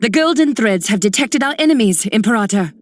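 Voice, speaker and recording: A woman's voice: a little high, animated, a little fast, natural voice. A woman voicing a regal, cool, commanding female leader in a video game with stately, formal delivery. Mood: solemn, stately. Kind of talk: scripted game character lines.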